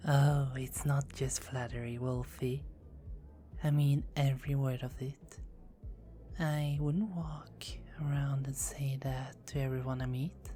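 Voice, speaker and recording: A woman's voice: extremely low pitch, steady tone, slow, mellow, soft background music. Woman whispering as a teasing vampire girl. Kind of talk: ASMR roleplay.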